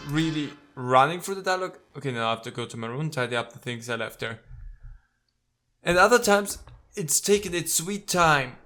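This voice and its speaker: A man's voice: medium pitch, bright, forceful tone. A man giving let's play commentary, reading game dialogue aloud and breaking in with nitpicking, exclamatory asides. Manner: nitpicking, exclamatory asides between read lines. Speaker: video game streamer.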